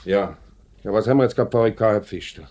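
A very low-voiced Swiss German company director, acted by a man in an old radio drama. He is deliberate and questioning, a man in charge who is reluctant to be hard. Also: a little mellow, natural voice. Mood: businesslike. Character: lenient, hesitant company director, middle-aged man in charge. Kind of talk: 1950s radio play acting.

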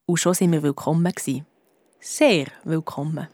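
An actress with a Swiss German accent playing a clever, cheeky amateur detective who narrates a children's radio play. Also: female voice, deep voice, normal speed, darkish tone, no echo. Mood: narrating, pleased. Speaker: lead detective and narrator in a children's audio drama.

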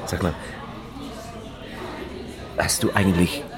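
A man's voice: low voice, steady tone, takes it slowly, a crowd in the background. An actor playing an anxious, reluctant man drawn into a murder plan, hesitant and stammering, in a radio crime drama. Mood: evasive, uneasy.

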